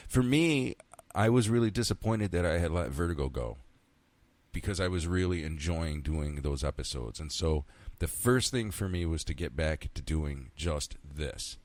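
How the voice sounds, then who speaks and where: A man's voice: a bass voice, animated, normal speed, darkish tone, dry recording. A man in his fifties hosting a solo podcast, rambling casually, talking to himself and swearing now and then. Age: 50s. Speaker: podcast host.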